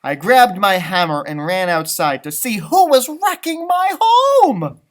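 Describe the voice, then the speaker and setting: A man's voice: high-pitched, big pitch swings, a little slow. A man voicing a small, grumpy, boastful old leprechaun telling his own story in a storybook read-aloud. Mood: alarmed. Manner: feisty, boastful, theatrical storytelling.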